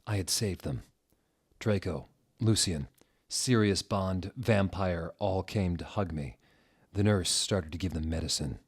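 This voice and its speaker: A man with a low voice reads fan fiction aloud as a theatrical narrator. He takes his time over the words, delivering them slowly and deliberately with mock-serious drama. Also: breathy edge.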